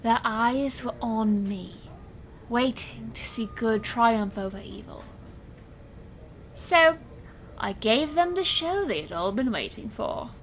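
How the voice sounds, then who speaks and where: A woman's voice: a little high, expressive, takes it slowly, a little hiss or hum. A woman playing a proud, intense stage illusionist who narrates her own magical story in a theatrical, earnest audio drama style. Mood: reflective, earnest. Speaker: narrator and lead character. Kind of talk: scripted first-person audio drama narration.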